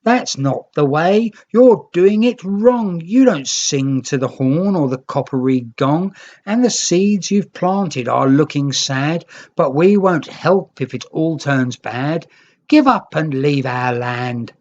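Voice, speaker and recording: Narrator doing grumpy little island creature from a kids book, high pitched and bossy, scolding, bit muffled. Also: animated, takes it slowly, darkish tone. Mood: scornful. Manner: scolding, emphatic, indignant. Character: grumpy, stubborn, hostile crowd of storybook island creatures.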